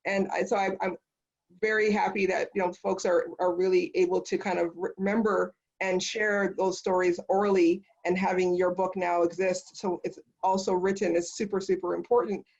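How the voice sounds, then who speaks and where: A woman's voice: lowish voice, flat delivery, normal speed, gravelly, a little mellow. A woman moderating a panel discussion in a conversational, affirming way, passing questions along to the speakers. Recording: dull, lo-fi audio.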